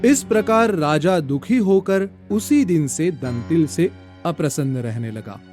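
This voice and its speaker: A man tells a scripted fable in formal, careful narration. His voice sits a little high and moves slowly. Soft music runs beneath the recording. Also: lively intonation. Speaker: storyteller. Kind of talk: scripted moral-story narration. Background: soft background music.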